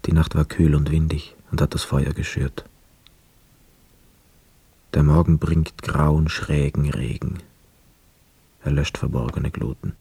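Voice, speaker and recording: An actor in a radio play voicing a weary, melancholy young war returnee who narrates in a sombre, measured way. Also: male voice, rumbling low, steady tone, vocal fry, mellow, breathy edge, no echo, full character voice. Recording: dry studio with faint music.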